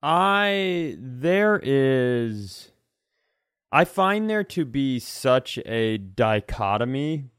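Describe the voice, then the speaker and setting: A man's voice: mid-range voice, big pitch swings, drawn-out words, fairly bright tone, clear, resonant tone, dry recording, clean studio sound. A man chatting casually as a comedy podcast host, sarcastic and given to acting out the people he describes. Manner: sarcastic, acts out quoted characters.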